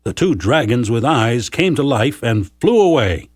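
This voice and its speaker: A man narrating folktales from a script for learners, with measured, careful enunciation. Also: medium pitch, big pitch swings, dry recording, clean studio sound.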